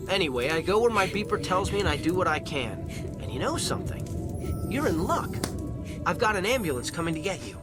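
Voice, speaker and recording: A kindly, mysterious angel of a man played by an actor talks in a drama scene. His intonation is lively and his manner teasing yet soothing. Prominent music plays behind him. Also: high-pitched, fairly quick. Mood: gentle, upbeat. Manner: teasing, reassuring. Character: mysterious kindly man in angel outfit, calm helpful stranger. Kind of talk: screen drama dialogue.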